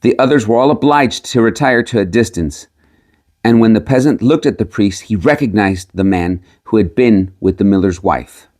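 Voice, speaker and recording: A man's voice: fairly low pitch, normal speed, a little mellow. A man reading a storybook tale aloud as scripted narration. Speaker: audiobook reader.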